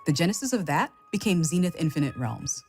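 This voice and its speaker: Female spokesperson, notably low register, measured scripted read, faint music behind. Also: sing-song, a little mellow. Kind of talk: scripted studio announcement.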